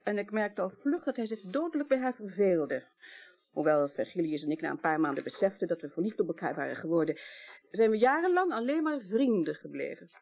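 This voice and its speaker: A woman's voice: medium pitch, very animated, brisk, creaky, a little mellow. An actress playing a candid, composed older woman in a naturalistic radio drama, frank and matter-of-fact in manner. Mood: frank, reminiscing. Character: candid, composed woman of about sixty, longtime mistress.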